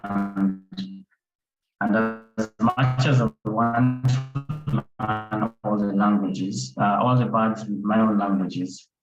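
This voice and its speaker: Deep-voiced man in a roomy, dull recording. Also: steady tone, takes it slowly, over loud music. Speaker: conservation photographer speaking on a panel. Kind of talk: online panel talk. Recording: roomy, dull sound with faint noise.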